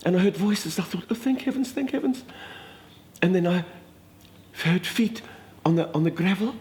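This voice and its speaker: A man's voice: high-pitched, fairly even intonation, moderate pace, darkish tone, close to an everyday voice. A man doing a gushing older Afrikaans lady. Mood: gossipy. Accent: Afrikaans South African. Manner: gushing, dramatic, exclamatory. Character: chatty older Afrikaner woman, minister's wife, theatrical. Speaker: comedic monologist. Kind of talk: comic storytelling monologue.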